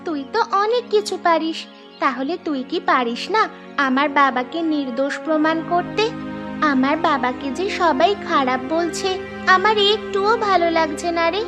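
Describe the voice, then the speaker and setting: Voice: high-pitched, animated, normal speed, over music. A performer voicing a trusting little village girl in a cartoon, childlike and plaintive. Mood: sad, plaintive. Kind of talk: cartoon voice acting.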